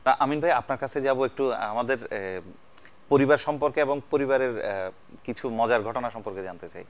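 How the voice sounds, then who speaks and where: A man with a Bangladeshi accent and a mid-range voice hosts a celebrity chat show. He speaks conversationally, often pausing and repeating his words as he goes. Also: medium pitch. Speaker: TV talk show host.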